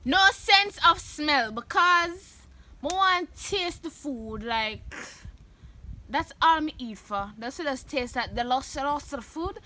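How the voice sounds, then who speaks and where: High bright Jamaican woman vlogging. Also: takes it slowly, a little hiss or hum. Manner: animated, loud bursts of laughter and shouting. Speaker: vlogger host. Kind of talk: casual chat answering questions.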